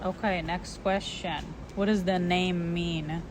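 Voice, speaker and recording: A woman's voice: fairly low pitch, steady tone, slow pace. A young woman co-hosting a casual chat, agreeable and full of small yeahs, stating points plainly. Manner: agreeable, backchannels a lot, then states points plainly. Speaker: co-host. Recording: noisy recording.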